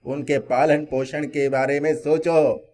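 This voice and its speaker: A man playing a mysterious wise old man in an animated moral story, stern but helpful, with a measured, admonishing manner. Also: on the high side.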